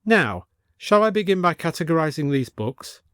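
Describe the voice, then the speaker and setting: A man voicing a stiff, plaintive Victorian gentleman with pompous, old-fashioned formal phrasing, in a storybook. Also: fairly high pitch, very animated, normal speed, clear, resonant tone, no echo, clean studio sound, pitched above the performer's own voice. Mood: earnest.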